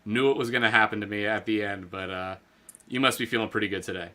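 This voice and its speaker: This is a man with a very bright, forward tone, co-hosting a sports betting chat. His talk is loose and rambling, full of fillers and restarts. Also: lowish voice, steady tone, fast. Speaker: podcast co-host.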